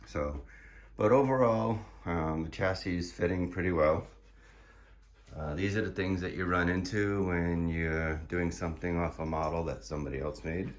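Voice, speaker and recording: A hoarse man casually walking through his build in a garage workshop, explaining with many fillers and restarts. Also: low voice, normal speed. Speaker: car builder showing his project.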